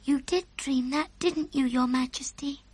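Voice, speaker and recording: A woman's voice: sits high, a little slow, darkish tone, no echo, voice pushed up. A woman voicing a small girl in a storybook, with a polite, earnest, childlike manner. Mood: calm, polite.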